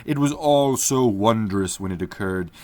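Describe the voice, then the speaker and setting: A desperate, ragged old magician, wavering between pleading and faded grandeur, as a man reads him aloud. The voice rises well above the reader's own low tone. He speaks slowly and with weight, like a figure in a storybook. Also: animated, mellow. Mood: brooding.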